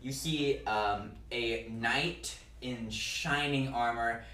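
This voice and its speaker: A woman narrating as game master for friends, halting and full of restarts as she describes the scene. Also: extremely low pitch, fairly even intonation, a bit bright, reverberant, distant mic, poor audio.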